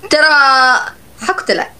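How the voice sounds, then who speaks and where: A woman co-hosting a casual phone live stream, relaxed and quick to laugh. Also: sits high. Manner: easygoing, laughs often.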